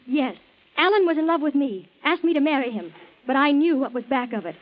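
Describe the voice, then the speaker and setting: A woman's voice: high voice, lively intonation, fairly quick. An actress playing a defensive, flustered young woman under suspicion in a 1940s radio melodrama. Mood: indignant, accusing. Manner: emphatic, flustered. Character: defensive, accusing young woman suspected of murder. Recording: dull 1940s radio recording.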